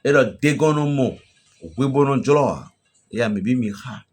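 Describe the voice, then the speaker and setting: A man from Benin with a Beninese French accent, preaching an emphatic, storytelling message to a fellow pastor. His voice swells loudly at the climaxes. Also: medium pitch, moderate pace, slightly rough. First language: a local Beninese language.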